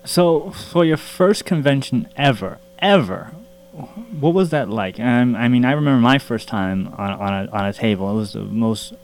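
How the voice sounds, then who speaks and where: A man's voice: mid-range voice, lively intonation, normal speed, a little hiss or hum. A man hosting a podcast interview, asking questions in a joking, chatty way. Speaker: podcast host. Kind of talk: casual interview talk.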